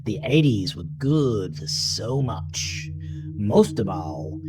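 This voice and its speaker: A narrator reading a scripted horror story in a dramatic, deliberate way, often dropping to a hushed breath. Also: medium pitch, sing-song, background noise.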